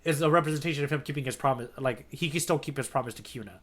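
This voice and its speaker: A man's voice: mid-range voice, racing. A man co-hosting a casual manga readalong podcast, talking with slangy enthusiasm. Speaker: podcast co-host.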